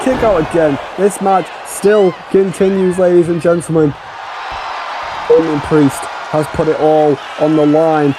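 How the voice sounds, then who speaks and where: A man calling a wrestling match play by play, excitable and often shouting over the action. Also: high voice. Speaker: play-by-play commentator. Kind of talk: wrestling commentary. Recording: loud crowd and noise behind.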